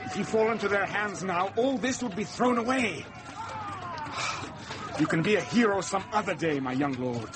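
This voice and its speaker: A brave, commanding rescuer in a radio drama, urgent and protective. The voice is high for a man and swings widely, set in a roomy space under loud noise. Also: lively intonation, normal speed.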